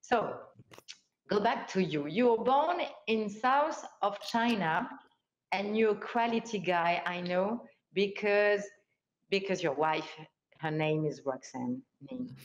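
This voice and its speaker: Slow-talking woman hosting an online forum. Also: mid-range voice, lively intonation. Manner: enthusiastic, non-native phrasing. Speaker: host. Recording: roomy, dull lo-fi sound.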